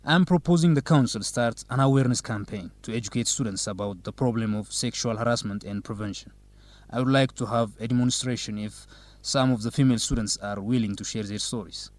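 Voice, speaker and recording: An actor playing a dignified young student council president, answering formally in an educational radio drama. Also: male voice, medium pitch, lightly acted. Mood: formal, assured. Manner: formal, measured, politician-like.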